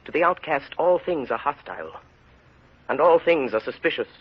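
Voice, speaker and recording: An actor playing a grave, intense storyteller and hunted man in vintage radio drama, measured and theatrical. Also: a little high, animated, slightly rough. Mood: grave, suspenseful. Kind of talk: 1930s-40s dramatic radio narration and acting. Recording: muffled vintage radio recording.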